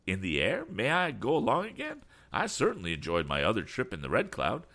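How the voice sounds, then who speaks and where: An excitable, eccentric older gentleman from a storybook. The voice is pushed up high and swoops all over. He flutters and exclaims, fussing nervously as he chatters. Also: brisk, exaggerated voice. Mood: excited, eager.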